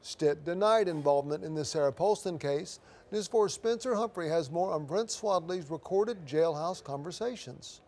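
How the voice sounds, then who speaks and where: A man's voice: fairly high pitch, normal speed, darkish tone, slight background noise. A man anchoring the news, reading scripted copy in a broadcast delivery.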